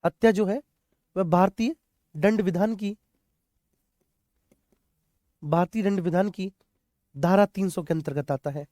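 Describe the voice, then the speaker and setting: A coaching teacher with a mid-pitched voice in a dry, close recording. The delivery is a classroom lecture that repeats key points, puts questions to students and addresses them like children. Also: a little slow, long pauses, dark tone, no room sound. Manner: repeats key points, often checks understanding. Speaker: teacher, exam coaching instructor.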